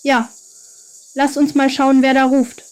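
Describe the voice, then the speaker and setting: A woman's voice: high voice, flat delivery, measured pace. A woman voicing a friendly, curious and reassuring young boy in a children's bedtime story.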